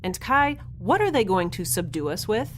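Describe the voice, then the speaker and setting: A woman's voice: mid-range voice, big pitch swings, moderate pace, slight background noise, natural voice. A woman playing a harried young space scientist in a sci-fi audio drama, speaking informally with wry, hesitant urgency. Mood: wry, anxious.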